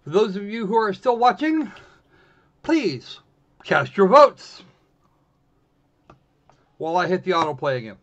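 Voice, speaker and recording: A man hosting a livestream in casual chat, wry and snarky, with halting phrasing. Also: sits high, animated, fairly bright tone. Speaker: livestream host. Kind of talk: casual game stream chat. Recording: dull lo-fi microphone sound.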